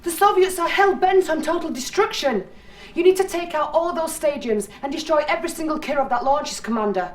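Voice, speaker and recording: A woman's voice: sits high, animated, a little fast, far from the mic. A woman acting as an earnest military intelligence officer, giving urgent briefings in a video game. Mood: alarmed.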